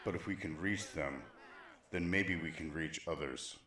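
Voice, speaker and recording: A man's voice: low-pitched, moderate pace, bright, forceful tone, slight background noise. A man playing a grave, weary survivor leader in an apocalyptic audio drama, speaking in a terse, solemn, dramatic way. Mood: intent. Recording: roomy echo, faint dramatic music behind.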